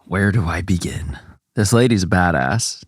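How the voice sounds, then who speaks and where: A man's voice: low-pitched, normal speed, slightly rough, no echo, clean studio sound. A man co-hosting a podcast as a guest, chatting casually with short reactions.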